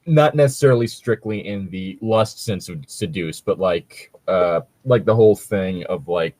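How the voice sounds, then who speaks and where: A man's voice: low-pitched, a little mellow. A man chatting casually as a show co-host, sweary and riffing in a joking commentary. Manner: sweary, riffing, breaking into skits. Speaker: co-host of a story-reading show.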